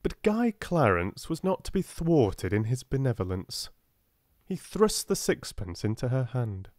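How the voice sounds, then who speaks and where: A soft-spoken man with a British accent narrating a classic children's audiobook. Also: mid-range voice, sing-song, a little slow, no room sound, studio quality.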